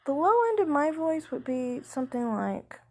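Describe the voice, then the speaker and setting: A woman's voice: high voice, sing-song, darkish tone. A young woman who sings, talking casually about her voice type in a rambling, hesitant way with many fillers. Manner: speaks slowly. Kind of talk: casual vlog chat.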